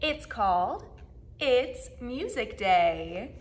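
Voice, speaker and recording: A young woman reads a picture book aloud for small children. Her voice is high and swings widely in pitch. She goes slowly, drawing out words in a sing-song educator's style. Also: sing-song. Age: 20s. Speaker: children's music class educator. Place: small plain indoor room, seated.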